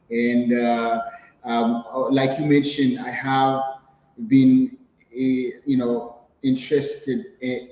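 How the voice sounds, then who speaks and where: Male guest, French Haitian accent, mid-pitched, halting speech, roomy call audio. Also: mellow. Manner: hesitant, many fillers and restarts. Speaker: guest entrepreneur. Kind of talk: video call interview.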